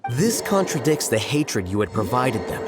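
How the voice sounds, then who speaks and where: An actor playing a calm, coolly logical young scholar in a video game, with a dry, precise, unhurried delivery. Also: male voice, mid-range voice, lightly acted. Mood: coolly explaining. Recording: background music under dialogue.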